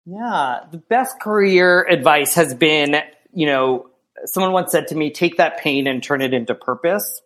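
An American man speaking thoughtfully as an interview guest, often checking in with a quick "right?". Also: a little high, a bit bright. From small town in Pennsylvania, United States. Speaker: interview guest, theatre artistic director. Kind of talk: conversational interview.

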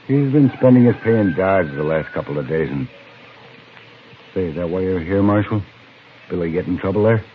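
An easygoing, plainspoken Old West rancher with a deep voice and a rural American drawl. He talks in the folksy style of a radio western, on a narrow, slightly hissy vintage recording. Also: expressive, normal speed, pauses often. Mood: puzzled. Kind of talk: 1950s radio western acting.

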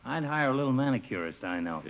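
An actor playing a chummy, pushy small-town barber in an old radio comedy, slangy, breezy and cajoling. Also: male voice, mid-range voice, lively intonation, fast talker, creaky, slight background noise. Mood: pushy, coaxing. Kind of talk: 1940s-50s radio comedy acting. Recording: dull vintage radio broadcast recording.

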